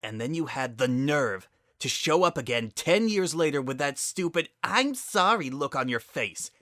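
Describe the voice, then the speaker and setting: Guy doing a angry girl pegasus pony, bitter and accusing, pushed up high and swoopy, storybook drama. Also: lively intonation, moderate pace, no echo, clean studio sound. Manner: accusing, forceful, loud outbursts. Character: bitter, furious pegasus pony older sister, female.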